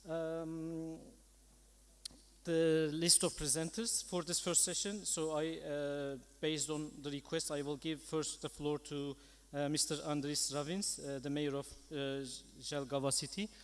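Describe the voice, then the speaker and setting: A man's voice: on the high side, level tone, a little slow. A man chairing a conference session, speaking politely and hesitantly in semi-formal, unscripted remarks. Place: large conference hall. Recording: echoey hall with long reverb.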